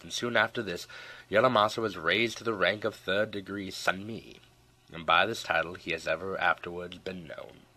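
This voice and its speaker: Male audiobook narrator, very low register, crisp bright edge, scripted storybook read, measured and expressive. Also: low-quality recording.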